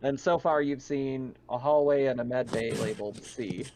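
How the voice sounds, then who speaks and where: A man's voice: medium pitch, normal speed, background noise. A man acting as game master, casually narrating a tabletop roleplaying session.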